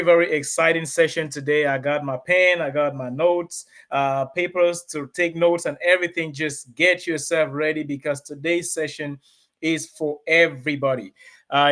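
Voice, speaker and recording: Male studio host, slightly high voice, energetic and repetitive, talks straight to the audience. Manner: energetic, repetitive emphasis, addresses viewers directly. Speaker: host and reverend. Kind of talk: live-stream show hosting.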